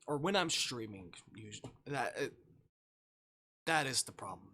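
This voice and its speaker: A man's voice: medium pitch, lively intonation, moderate pace, pushed and bright. A man chatting casually as a live streamer, muttering and swearing as he goes. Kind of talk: casual livestream chat, reading a visual novel aloud.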